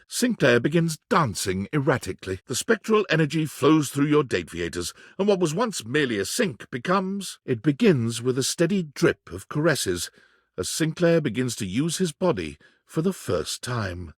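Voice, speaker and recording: Narrator for an absurd romance game: deadpan storyteller, slightly rough texture, animated pitch, very dry recording. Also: male voice, dry recording. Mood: wry, measured. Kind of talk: scripted narration.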